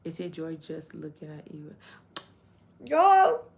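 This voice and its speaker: A woman's voice: sits low, wildly swooping pitch, slow pace, vocal fry. Encouraging Trinidadian woman on a livestream. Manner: warm-hearted, encouraging, laughs often, reads comments aloud. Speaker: live stream host. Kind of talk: casual livestream chat with viewers.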